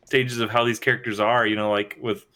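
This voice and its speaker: A man's voice: on the low side, level tone, brisk, bright, forceful tone. A man on a podcast panel in casual chat, phrasing things loosely and informally.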